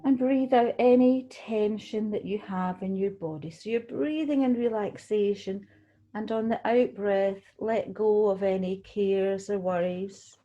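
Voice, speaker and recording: Mellow-voiced woman guiding a meditation. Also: medium pitch, lo-fi sound. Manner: soothing, gentle, unhurried with pauses. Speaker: guided meditation leader, tai chi qigong teacher. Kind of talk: guided relaxation meditation.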